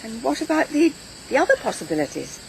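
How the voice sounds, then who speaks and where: An older mother in a radio soap, with a creaky, fairly high voice. She is careful and gently probing, with faint hiss behind. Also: big pitch swings, fast, full character voice, poor audio. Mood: probing, concerned. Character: anxious, careful elderly mother of a farming family. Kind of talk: naturalistic British radio soap acting.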